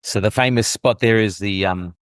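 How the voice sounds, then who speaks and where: A man's voice: lowish voice, normal speed, smooth, no echo, studio quality. A man hosting a podcast interview, asking curious prompting questions. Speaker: podcast host. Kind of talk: casual interview.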